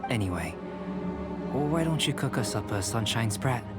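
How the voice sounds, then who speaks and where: A man voicing a calm, polite, bookish young alchemist in a video game, measured and formal. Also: medium pitch, close to an everyday voice. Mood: calm, curious. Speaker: video game character actor. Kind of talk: scripted game voice acting. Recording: music behind the voice.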